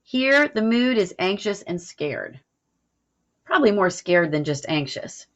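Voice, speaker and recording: A woman's voice: mid-range voice, sing-song, a little slow, fairly bright tone. Woman teacher, dull lo-fi recording. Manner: informal, explanatory, frequent "all right" check-ins. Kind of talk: recorded read-aloud lesson explanation. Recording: dull, lo-fi audio.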